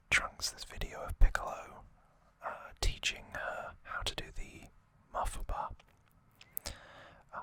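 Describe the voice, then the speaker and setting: Slow, breathy ASMR whisper. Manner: soft, rambling, unscripted commentary with opinions. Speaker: ASMR host. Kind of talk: whispered ASMR trivia recap.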